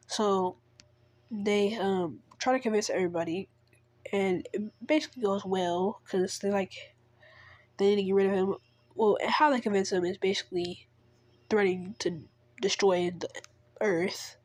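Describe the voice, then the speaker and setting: A woman's voice: mid-range voice, fairly even intonation, a little slow, pauses often, a little mellow, no echo. Sick woman rambling through a story. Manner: rambling, many fillers and restarts. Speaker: fan storyteller. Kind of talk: casual spoken retelling of a made-up story.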